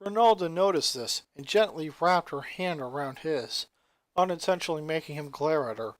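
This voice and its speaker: An expressive narrator reads a scripted story aloud. The voice is medium in pitch, and the recording is very dry and close. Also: normal speed, dry recording.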